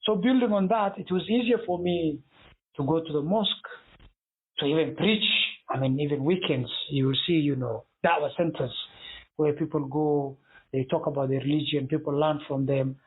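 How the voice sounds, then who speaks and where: A man's voice: a little high, moderate pace, husky. A man from Somalia who was brought up in Denmark, explaining things conversationally as an interview guest, on a muffled, narrow recording. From born in Somalia, brought up in Denmark. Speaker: interview guest, consultant and PhD student.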